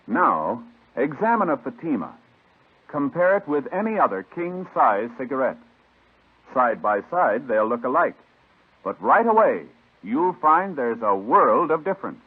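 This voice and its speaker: A man delivering an old-time radio commercial pitch in an emphatic, instructive manner. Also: sing-song, unhurried, breathy edge. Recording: tinny, muffled vintage radio recording.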